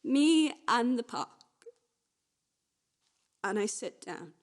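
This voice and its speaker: A woman playing a restless, wistful young woman in a confessional radio play monologue, speaking naturally in halting, repetitive phrases. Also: fairly high pitch, animated. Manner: halting, repetitive, swinging from hushed to agitated.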